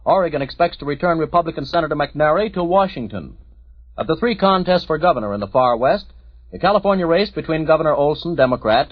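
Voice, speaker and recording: A man reporting election news on 1940s radio, in a measured, matter-of-fact delivery. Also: a little high, no echo. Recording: dry, muffled 1940s radio recording.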